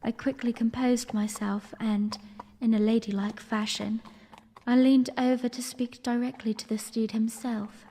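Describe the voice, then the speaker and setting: A young princess, played by a woman, tells her memories in an audio drama. Her voice is high and very unhurried, ladylike and lightly amused. It rings in a long hall reverb with some noise. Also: flat delivery, dark tone. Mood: warm, composed. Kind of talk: audio drama narration and dialogue.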